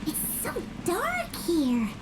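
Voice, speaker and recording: A woman voicing a small, excitable, childlike fairy creature in a video game, cute and earnest. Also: very high-pitched, extremely slow, very noisy, full character voice. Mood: uneasy.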